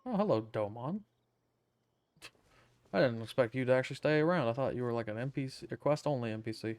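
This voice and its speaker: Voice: medium pitch, lively intonation, fairly quick, darkish tone, no echo. A streamer giving casual commentary on a game playthrough, with wry, sweary interjections.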